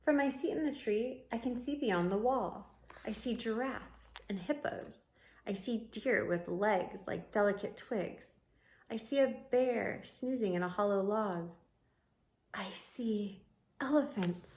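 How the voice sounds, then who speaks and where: A woman reading a storybook aloud like a teacher, expressive and adding comments for young listeners. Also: medium pitch, a little slow. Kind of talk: read-aloud storybook narration with asides. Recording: roomy, echoing room sound.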